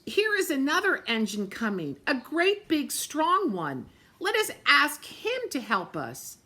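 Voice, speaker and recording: A woman's voice: high-pitched, wildly swooping pitch, a little slow, bright, forceful tone, voice pushed up, exaggerated voice. A woman voicing a hopeful little male toy clown in a picture book, calling out eagerly.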